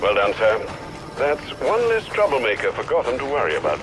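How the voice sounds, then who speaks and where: An actor playing a loyal elderly English butler in a video game, with formal, courteous and dry manners. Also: male voice, medium pitch, huge pitch swings, a little fast, noisy background. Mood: dry approval.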